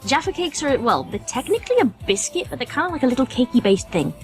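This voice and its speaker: A woman's voice: sits high, big pitch swings, quick pace, faint music behind. A British woman chatting informally as a podcast guest, with a self-deprecating, colloquial way of talking. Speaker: featured guest, voice actress. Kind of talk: casual podcast chat.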